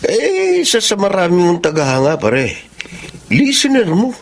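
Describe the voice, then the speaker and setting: A man's voice: high voice, huge pitch swings, normal speed, creaky, slight background noise, heavily acted. A man playing an admiring, teasing young sidekick friend in a Filipino radio drama, with a Filipino accent. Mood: eager, admiring.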